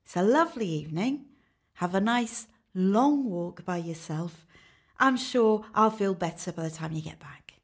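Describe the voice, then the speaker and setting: Radio drama: actress as wife, falsely sweet and coaxing, breathy voice, slow delivery, medium pitch. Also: female voice, lively intonation, full character voice. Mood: sweetly coaxing. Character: sweetly deceitful wife, secretly impatient. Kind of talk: radio play acting.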